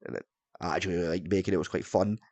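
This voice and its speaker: A young man from Scotland with a partly softened Scottish accent, sick and a bit raspy, ranting casually about a game, swearing often and filling with "like". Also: deep voice, fairly even intonation, racing, dry recording, muffled recording. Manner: swears often, heavy filler "like", rambling. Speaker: gaming YouTuber.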